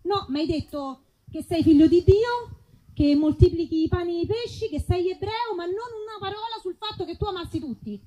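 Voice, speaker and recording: A woman doing conversational stage comedy with a very high voice. She sounds colloquial and indignant, stopping and starting as in a one-sided phone call. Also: moderate pace, dark tone. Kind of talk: conversational comic bit, mock phone call.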